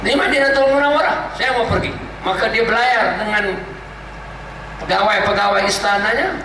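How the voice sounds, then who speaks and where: A man from Malaysia with a Malaysian Malay accent, preaching a sermon and telling a story with emphatic repetition. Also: sits high. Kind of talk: religious sermon. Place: large gathering hall. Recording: echoey hall, distant mic, noisy.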